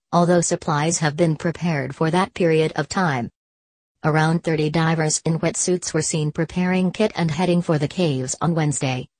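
A woman with a deep, low voice delivers a scripted news story. Her read is even and measured, and the recording is dry, with no room sound. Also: no echo. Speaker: news narrator.

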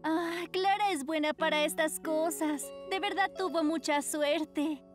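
A sweet, boy-crazy cartoon teenage girl, with an extremely high, expressive voice. Light music plays behind her. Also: unhurried. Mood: wistful. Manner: girlish, expressive. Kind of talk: animated cartoon dubbing.

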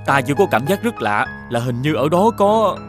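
An actor playing a gentle, wistful young prince in an animated fairy tale, pensive and sincere. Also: male voice, a little high, moderate pace, music in the background. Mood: wistful, troubled.

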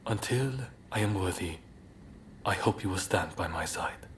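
A male actor plays a thoughtful samurai rebel in a game cutscene. His voice sits fairly low and his phrasing is polite and measured. Clear ambient sound runs behind the words. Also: slight background noise, lightly acted. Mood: tender, vulnerable. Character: earnest, soft-hearted samurai rebel leader, devoted and thoughtful. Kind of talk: video game cutscene acting.

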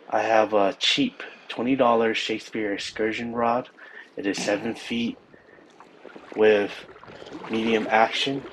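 A man explaining his fishing trip and gear in a relaxed, casual way on a snowy riverbank, recorded on a body camera. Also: fairly low pitch, slight background noise, poor audio. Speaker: fishing vlogger. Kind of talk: casual outdoor narration.

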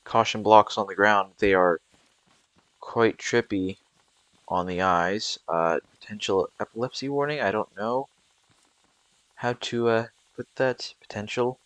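A man's voice: lowish voice, unhurried, fairly bright tone, no room sound, low-quality recording. A young man giving rambling, casual commentary over gameplay, with frequent fillers and restarts. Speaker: gameplay video creator.

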